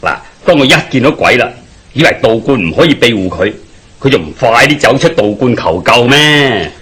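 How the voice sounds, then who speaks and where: A man playing an earnest, eager avenger in a Hong Kong Cantonese radio drama, speaking insistently with a husky voice. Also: mid-range voice, animated, normal speed, fairly bright tone. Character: earnest, eager adult avenger of a dead father.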